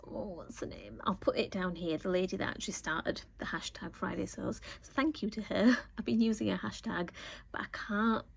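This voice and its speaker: A woman, a little croaky from a cold, chatting casually to camera about her sewing week, rambling with lots of ums. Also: medium pitch, lively intonation, moderate pace. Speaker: sewing vlogger.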